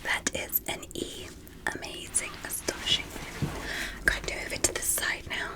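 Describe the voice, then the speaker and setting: A woman whispering in an ASMR medical roleplay as a curious, polite alien doctor, speaking gently and deliberately with soft amazement. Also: rough recording. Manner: gentle, deliberate, repetitive, softly amazed at small things. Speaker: ASMR performer playing an examiner.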